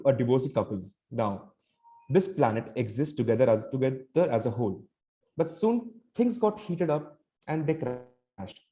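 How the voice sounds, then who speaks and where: Male contestant, Indian accent, soft dark tone, stand-up style comic monologue. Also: mid-range voice. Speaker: storytelling contestant.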